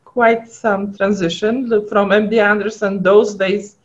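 A woman's voice: medium pitch, little pitch movement, unhurried. A middle-aged woman from Poland with a Polish accent, a guest pathologist chatting and telling stories in an interview. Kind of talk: casual interview chat. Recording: dull lo-fi audio.

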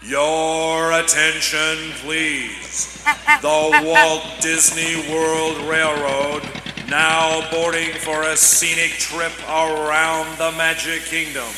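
A man gives a formal train announcement in a very slow, drawn-out way. His voice rings through a long, echoing hall and comes from far off. Noise is clearly heard behind him. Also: on the high side. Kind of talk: public announcement. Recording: echoey, noisy station announcement heard from afar.

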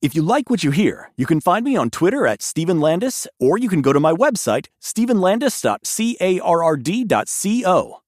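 Male guest voice actor, podcast sign-off, very animated intonation, close dry recording. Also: high voice, normal speed, few pauses, dry recording. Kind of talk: podcast sign-off, plain self-introduction.

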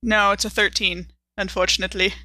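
A woman's voice: medium pitch, level tone, a little fast, pushed and bright, dry recording. A woman playing in a tabletop game, bantering casually with the other people at the table.

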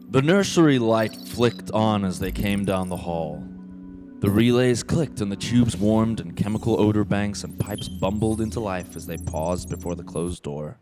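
A man playing the solemn narrator of a radio play, with measured, evocative delivery. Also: fairly low pitch, expressive, over music, lightly acted. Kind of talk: scripted dramatic narration.

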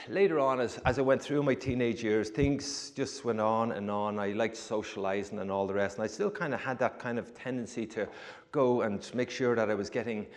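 A man in his fifties with an Irish accent, telling his life story to a congregation in a chatty way, with humorous asides and frequent restarts. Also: on the low side. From Ireland. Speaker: guest giving a testimony. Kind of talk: personal testimony told to a congregation. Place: church sanctuary. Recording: church hall reverb.

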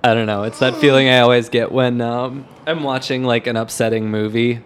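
A man's voice: medium pitch, steady tone, fairly quick, a little hiss or hum. A man hosting a podcast, chatting casually about music, emphatic and informal, often circling back as he searches for words. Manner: hesitant, repetitive, searching for words. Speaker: podcast host. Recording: music playing behind the voice. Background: song playback.

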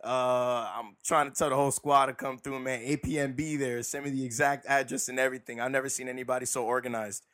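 A man's voice: medium pitch, flat delivery, fast, few pauses, a bit bright. A man co-hosting a podcast, telling stories in a laid-back, slangy way. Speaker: podcast co-host. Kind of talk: casual podcast chat.

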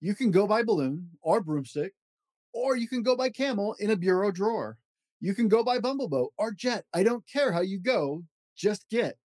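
A man's voice: high voice, animated, moderate pace, darkish tone, no room sound. A man hosting a children's story podcast, reading aloud expressively with an emphatic, rhythmic delivery.

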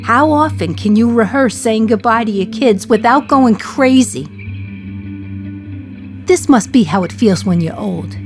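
A woman's voice: a little high, expressive, measured pace, faint music behind. An actress playing a condemned woman prisoner in her thirties, talking to the listener in a wry, sardonic, confiding way in a radio play monologue.

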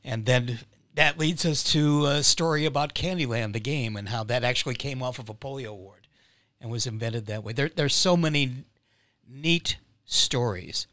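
A man, a playwright, speaking in a podcast interview, measured and explanatory with frequent pauses and fillers. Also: mid-range voice, fairly bright tone, dry recording.

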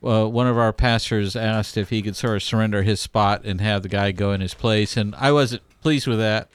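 A man's voice: lowish voice, normal speed, smooth. A man co-hosting a podcast, telling stories in a casual, jokey, rambling way. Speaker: podcast co-host. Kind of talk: casual comedic storytelling chat.

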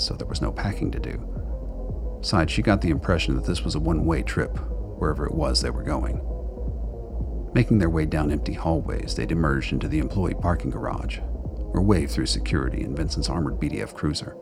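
A man narrating a scripted radio drama in a deliberate, noir storytelling manner. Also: rumbling low, vocal fry.

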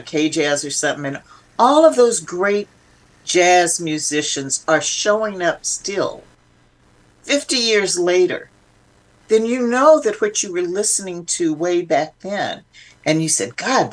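An older woman speaking as an interview guest, reflective and conversational, thoughtful and emphatic with halting restarts. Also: lowish voice, expressive.

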